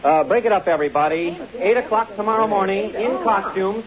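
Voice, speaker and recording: Actor as a high-pitched, bossy film-set assistant. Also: male voice, fairly quick, lightly acted. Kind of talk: 1950s radio drama acting. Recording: muffled 1950 radio broadcast, loud crowd.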